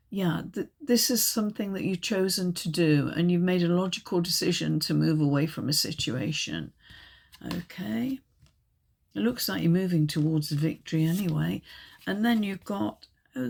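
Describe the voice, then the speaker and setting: A woman reading tarot cards in a casual, chatty way, hesitant and full of ums, her voice still croaky from a chest infection. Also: fairly low pitch, a little mellow. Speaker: tarot reader.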